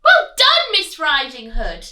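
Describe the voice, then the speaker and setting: A woman voicing a clever, brave little male pig in a storybook reading, high and squealing. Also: wildly swooping pitch, slow, pushed and bright, recorded from a distance, exaggerated voice. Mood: indignant. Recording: roomy room, voice from the mic.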